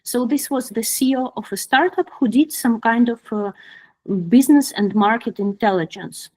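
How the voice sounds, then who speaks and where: A woman with a fairly high voice and a Ukrainian accent presents her own story to an online group. She speaks informally, with hesitant pauses as she looks for words. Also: a little mellow, poor audio. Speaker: guest speaker, product designer. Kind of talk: personal career talk to an online group. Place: online video call.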